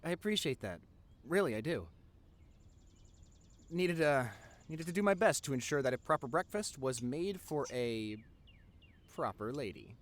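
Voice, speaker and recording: Man playing a goofy nerdy boyfriend, animated voice. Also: fairly high pitch, unhurried, long pauses. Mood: warm, gallant. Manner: teasing, stammering when flustered. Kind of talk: intimate boyfriend audio roleplay.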